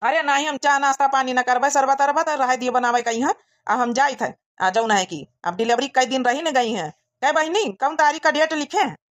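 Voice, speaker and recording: Woman acting as young village brother, hurried, Awadhi accent, cartoon comedy, highish voice, muffled lo-fi recording. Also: lightly acted. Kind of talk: rural cartoon comedy dialogue. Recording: dull, lo-fi sound.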